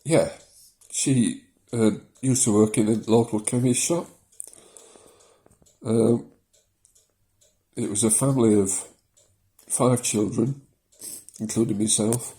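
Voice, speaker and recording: Older man in his seventies, softened South Yorkshire accent, unhurried, warm mellow tone, rambling casual vlog. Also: mid-range voice, fairly even intonation. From South Yorkshire, England. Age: 70s. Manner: rambling, hesitant, with many ums and small laughs. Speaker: vlogger. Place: living room at home. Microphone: mobile phone.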